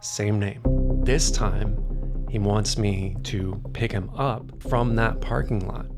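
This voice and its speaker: A man acting a haunted, anxious rideshare driver in a naturalistic thriller, halting and repeating his words, with music behind him. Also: on the low side, steady tone. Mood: uneasy. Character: haunted, anxious male rideshare driver recounting strange pickups.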